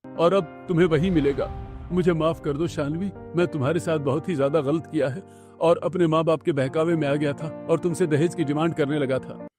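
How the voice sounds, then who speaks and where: An actor playing a remorseful young husband in a cartoon, speaking in an earnest, pleading way. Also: male voice, a little high, steady tone, brisk, lightly acted. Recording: music clearly behind the voice.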